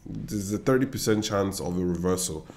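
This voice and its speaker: Young Nigerian trader, lowish voice, confident and chatty, desk-mic screen-share talk. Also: male voice, normal speed, a little mellow. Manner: confident, chatty, talks straight to viewers with asides. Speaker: forex trader and educator. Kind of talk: screen-share trading analysis. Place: indoor room.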